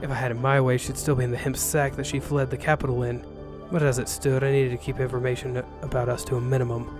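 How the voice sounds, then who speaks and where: A man narrates a first-person ronin tale with dry sarcasm. His voice is mid-pitched, and music plays behind the reading. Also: flat delivery, fast talker. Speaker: narrator reading an interactive novel. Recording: music bed under the voice.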